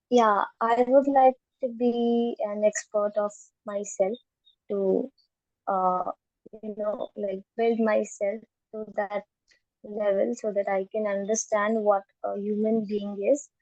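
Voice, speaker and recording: A woman's voice: medium pitch, little pitch movement, slow, darkish tone, dry recording. A woman giving a reflective, thoughtful answer on a video call. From India. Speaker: program participant. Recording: muffled dry video call audio.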